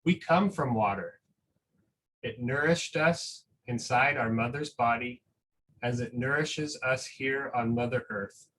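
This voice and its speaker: A man's voice: medium pitch, measured pace, fairly bright tone, distant mic, poor audio. Man reading as solemn young storybook girl narrator. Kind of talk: picture book read-aloud.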